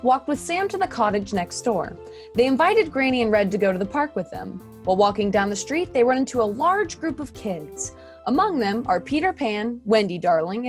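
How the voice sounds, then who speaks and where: A woman narrating a comic fairy-tale radio play with a wry manner. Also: mid-range voice. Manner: wry, storytelling.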